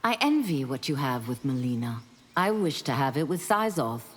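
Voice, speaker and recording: An earnest game warrior set on redemption. Her voice is very low and expressive, formal and intense, ringing in long reverb with some noise. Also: normal speed, slightly rough, heavily acted. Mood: earnest, resolute. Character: earnest, resolute female warrior seeking redemption. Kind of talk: video game character acting.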